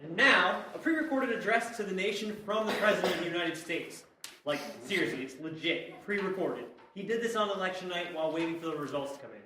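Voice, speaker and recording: Guy announcer, quick and high, very echoey, off mic. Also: sharp tone. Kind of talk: comedic show announcement. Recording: very echoey, distant mic, faint noise.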